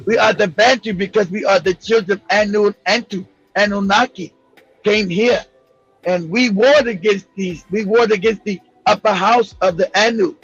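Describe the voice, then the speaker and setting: A man hosting a casual talk show, speaking in a profane, excitable way and laughing often. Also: high voice, normal speed, breathy edge, muffled recording, faint music behind. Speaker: host.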